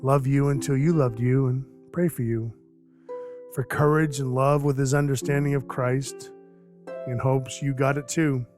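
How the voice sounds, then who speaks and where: A man acting in an audio drama as an awkward, gruff but kindhearted Christmas dwarf who rambles earnestly and runs himself down. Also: mid-range voice, lightly acted. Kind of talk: audio drama acting with first-person narration. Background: music under the voice.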